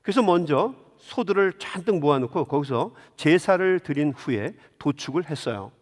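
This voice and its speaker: A man's voice: a little high, expressive, normal speed. A man preaching a sermon as a pastor, telling stories and putting rhetorical questions directly to his congregation in a church. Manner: engages listeners with direct questions. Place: large indoor church sanctuary. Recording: long hall reverb of a church.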